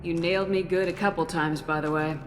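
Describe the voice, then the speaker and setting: A woman's voice: on the low side, fairly even intonation, brisk, long reverb tail, close to an everyday voice. A woman playing a tough, no-nonsense military commander in a video game, direct and terse. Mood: businesslike. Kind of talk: video game cutscene acting. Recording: music behind the voice.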